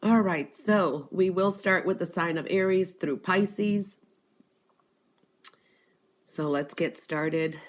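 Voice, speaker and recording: A woman with a stuffed-up, congested voice giving an intuitive spiritual reading, pausing often with "um" and repeating that she feels the energy. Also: lowish voice. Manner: hesitant, frequent "um" and "I do feel that energy". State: congested from allergies. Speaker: tarot and gemstone reader.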